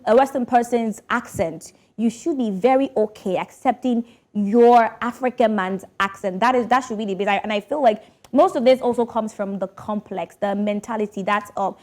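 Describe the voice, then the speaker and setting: A woman's voice: on the high side, darkish tone. A woman, an entertainment presenter speaking passionately and persuasively on a panel. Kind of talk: opinionated panel discussion.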